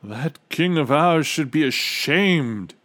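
A man voicing an excitable, blustering male royal trumpeter in a storybook, given to loud exclamations and wailing. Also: fairly high pitch, slow pace, pitched above the performer's own voice. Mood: indignant. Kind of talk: storybook character reading.

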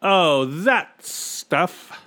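A man's voice: fairly high pitch, expressive, extremely slow, pushed and bright, pitched above the performer's own voice, full character voice. A man voicing a smug, jolly male captain of the guards in a storybook, boastful and dismissive. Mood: amused, dismissive. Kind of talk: storybook character reading.

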